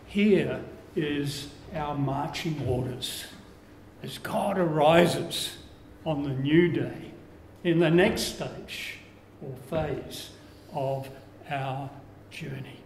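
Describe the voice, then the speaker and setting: Slow, measured older Australian pastor. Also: male voice, a little high, a little mellow. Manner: slow, measured preaching with falling phrase endings. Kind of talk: sermon. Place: church room with curtains. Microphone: lapel mic. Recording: echoey church room, faint background hiss.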